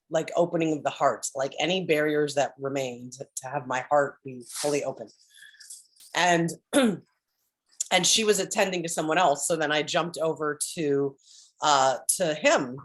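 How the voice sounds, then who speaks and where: Deep-voiced woman rambling on a call. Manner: rambling storytelling, frequent restarts, laughs and throat clearing. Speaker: spiritual group member sharing updates. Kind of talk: casual chat on a group call. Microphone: computer on a video call.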